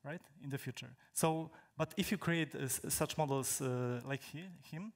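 A young man from Poland, a native Polish speaker, giving a technical talk on stage in a large hall, halting with many fillers and restarts. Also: fairly high pitch. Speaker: conference speaker.

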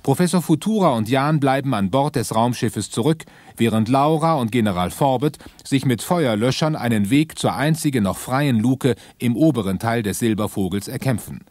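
A man narrating a sci-fi adventure radio play in an earnest, measured storytelling manner. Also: medium pitch, animated, a little mellow, dry recording, close to an everyday voice. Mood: suspenseful.

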